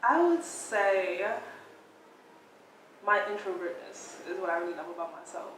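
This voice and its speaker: Woman, 25, relaxed and thoughtful, very echoey. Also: mid-range voice, a little slow. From Brooklyn, New York. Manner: relaxed, thoughtful, says "like" often. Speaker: interviewee. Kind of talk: casual reflective interview answers. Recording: very echoey, mic at a distance, faint noise.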